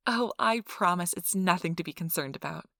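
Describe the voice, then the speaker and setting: A woman performs an earnest, cheerful female donor recruiter in a soft-spoken ASMR role-play. Her voice sits a little low for a woman. She talks in polite, reassuring customer-service patter, and the sound is very dry, with no room echo. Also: expressive, brisk, vocal fry, heavily acted. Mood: upbeat, reassuring. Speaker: donor acquisition interviewer.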